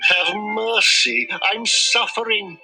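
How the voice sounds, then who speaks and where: A man voicing a sly, pitiful storybook fox with a toothache, in a drawn-out, pleading manner. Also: high-pitched, pitched above the performer's own voice. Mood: pleading, pained. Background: music.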